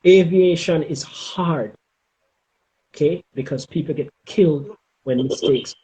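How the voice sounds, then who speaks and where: A middle-aged man with a Jamaican accent giving advice in an interview, speaking emphatically and deliberately and often checking in with "okay?". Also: on the high side, mellow, poor audio. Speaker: interview guest, former air traffic controller.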